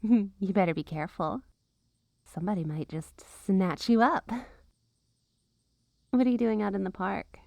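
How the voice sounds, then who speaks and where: A woman's voice: mid-range voice, measured pace, vocal fry, pauses often, darkish tone, dry recording. A woman playing a possessive, witchy girlfriend in an ASMR roleplay, teasing and cooing with sugary sweetness and an undertone of menace. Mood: playful, teasing. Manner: teasing, cooing, sing-song baby talk to pets.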